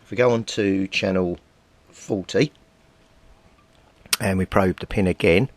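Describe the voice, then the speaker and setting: A middle-aged man narrates hands-on repair work informally, thinking aloud as he goes. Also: unhurried. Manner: thinks aloud while working. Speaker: hobbyist radio repairer and video maker. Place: indoor cluttered home workbench. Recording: rumbly background noise.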